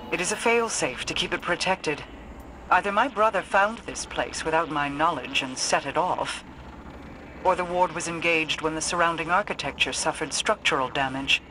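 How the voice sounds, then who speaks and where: A proud, imperious game queen, cold and formal. Her tone is bright and forceful, every phrase measured like a royal command. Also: deep voice, slight background noise, low-quality recording. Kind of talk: dramatic video game dialogue.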